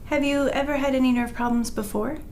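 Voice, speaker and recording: An actor playing a woman doctor who speaks to patients in a calm, reassuring, clinical way in a training dramatization. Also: high-pitched, brisk, a little hiss or hum. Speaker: physician in a role-play.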